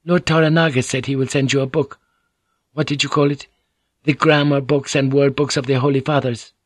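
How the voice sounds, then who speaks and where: A man's voice: medium pitch, little pitch movement, brisk, no room sound, pitched above the performer's own voice, lightly acted. A man reading an audiobook, voicing a polite, anxious young Japanese noblewoman who serves as interpreter. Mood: earnest, apologetic. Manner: polite, soothing, earnest. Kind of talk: audiobook character voice.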